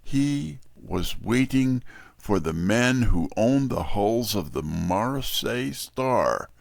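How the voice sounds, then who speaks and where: A man narrating a scripted mystery story in a deliberate, dramatic manner. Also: sits low, creaky, no room sound.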